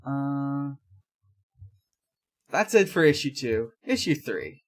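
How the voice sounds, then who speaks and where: A podcast host with an unhurried, slow pace and a medium-pitched voice. The delivery is casual and low-key, halting along through a recap as if chatting with friends. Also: sing-song. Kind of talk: casual comic recap chat.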